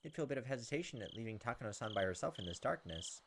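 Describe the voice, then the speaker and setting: A man's voice: medium pitch, animated, rapid-fire, noisy background. A man hosting a gaming video, reading visual novel text aloud dramatically with joking, exasperated asides. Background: game music and cricket sounds.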